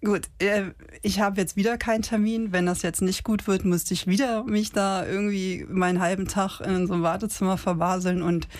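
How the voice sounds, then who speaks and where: A woman in her fifties co-hosting a casual podcast, telling a story in a lively way with fillers and short pauses. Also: mid-range voice, no echo. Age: 50s. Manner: animated retelling with many fillers. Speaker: podcast co-host.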